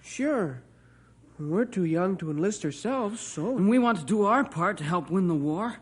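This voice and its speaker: A man's voice: sits high, animated, a little slow, husky, pitched above the performer's own voice, heavily acted. A man playing two eager young boys in an old radio drama. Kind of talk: 1950s radio drama acting.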